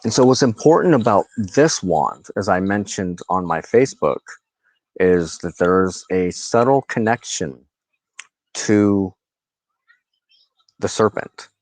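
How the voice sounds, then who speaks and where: A man with a low-pitched voice hosts a spiritual show, talking informally to the camera. He speaks slowly and deliberately, often pausing mid-phrase and repeating words for emphasis. The sound is dry and close. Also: expressive, no echo, low-quality recording.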